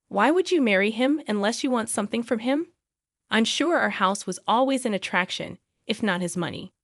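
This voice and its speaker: A woman's voice: on the high side, animated, normal speed, dry recording, studio quality. A woman voicing a scornful, suspicious mother-in-law in a narrated family drama, accusing and condescending.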